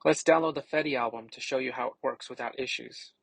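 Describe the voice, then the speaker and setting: A man with a creaky, gravelly voice and a husky edge walks through a product demo. He speaks at a middle pitch in a casual, explanatory way, like a tech reviewer reading his own script. The sound is close and dry. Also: fast, no room sound, low-quality recording.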